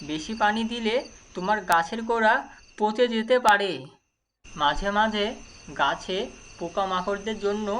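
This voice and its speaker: A woman voicing a patient husband in a cartoon story, advising in measured, instructive short phrases. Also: medium pitch, a bit bright, a little hiss or hum, close to an everyday voice. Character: husband patiently advising his two rival wives. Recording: music behind the voice.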